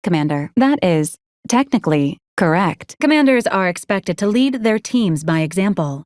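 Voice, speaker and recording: A woman playing a formal, dutiful female ship's AI in a video game, speaking in a measured, clipped, impersonal way. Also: mid-range voice, animated, a little mellow, no echo, clean studio sound, close to an everyday voice. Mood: formal, coolly critical. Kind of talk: scripted video game voice acting.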